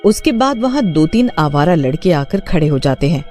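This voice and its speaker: A man's voice: on the high side, sing-song, moderate pace, a little mellow, soft background music. A man reading scripted narration for a cartoon story.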